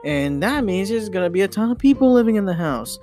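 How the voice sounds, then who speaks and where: Young male podcast host, higher-pitched, opinionated and emphatic, stumbling repetitions, guitar bed underneath. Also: lively intonation, mellow. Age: young adult. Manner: halting, repeating and restarting phrases, emphatic, mildly sweary. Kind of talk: rambling, opinionated podcast monologue. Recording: guitar music behind the voice. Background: guitar music.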